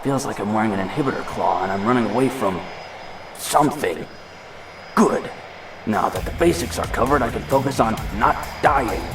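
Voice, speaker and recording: An actor playing a reckless robot fugitive with no memory, rambling and questioning himself in an audio drama. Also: male voice, on the low side, expressive, normal speed, far from the mic, over music. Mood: frantic, wry. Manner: rambling, self-questioning monologue. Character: amnesiac robot fugitive, reckless, jumpy and self-mocking.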